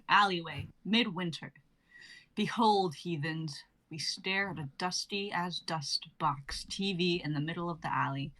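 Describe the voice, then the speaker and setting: A woman's voice: lowish voice, a bit bright, low-quality recording. A young woman reading stage directions for a comedy sketch in a theatrical, irreverent, declamatory way. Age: young adult. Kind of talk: theatrical comedic script narration.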